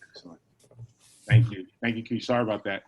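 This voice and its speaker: Man, lowish voice, panel moderator, video call, halting, apologetic. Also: steady tone, a little mellow, slightly rough. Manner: halting, apologetic over names.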